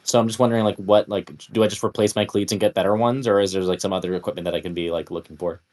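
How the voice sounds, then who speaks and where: A man's voice: sits low, fairly even intonation, racing, a little mellow. A man playing a tabletop roleplay, asking hesitant questions in a casual way. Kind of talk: casual game chat.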